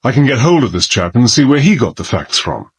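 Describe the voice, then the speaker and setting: An actor playing a blunt, middle-aged British ex-soldier turned country squire in a radio drama, with gruff, old-fashioned speech. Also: male voice, mid-range voice, sing-song, fairly quick, exaggerated voice. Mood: impatient, probing.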